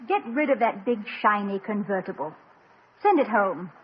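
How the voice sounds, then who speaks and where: Lady doing a classy teasing wife in an old radio comedy, lively voice, slightly muffled. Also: medium pitch, moderate pace, slight background noise, lightly acted. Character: gracious, witty woman, faculty wife. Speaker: college president's wife. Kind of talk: 1950s radio comedy acting. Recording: dull lo-fi 1950 radio broadcast.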